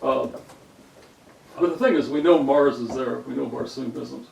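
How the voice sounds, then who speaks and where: Wordy guy with some vocal fry, rambling in a meeting, distant mic, echoey room w/ some noise. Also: medium pitch, normal speed, over a noisy crowd. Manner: wordy, digressive. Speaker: writer in a workshop discussion. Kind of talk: informal group discussion. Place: indoor room, recorded from a distance.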